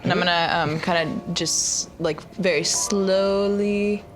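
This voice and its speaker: A woman taking part in a tabletop game, working out her moves slowly and aloud. Her voice sits a little low, with clear music playing behind it. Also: fairly even intonation. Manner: hesitant, thinking aloud with fillers. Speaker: player. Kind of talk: casual tabletop play. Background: music score.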